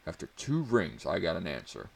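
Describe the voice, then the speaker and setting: A man's voice: low-pitched, huge pitch swings, moderate pace, gravelly, husky. A man narrating a first-person story as a dramatic reading.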